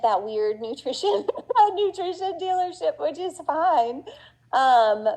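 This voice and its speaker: Middle-aged woman talking shop at a conference, mellow warm voice, lots of ums and restarts, earnest. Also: medium pitch, poor audio. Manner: chatty, many fillers and restarts; earnest and passionate. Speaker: business owner giving a talk. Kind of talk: conference talk.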